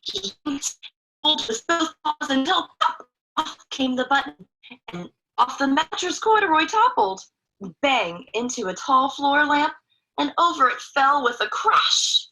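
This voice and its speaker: A woman's voice: high voice, lively intonation, measured pace, sharp tone, poor audio. A woman reading a picture book aloud expressively to children, in a chatty way with young listeners. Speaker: children's story reader.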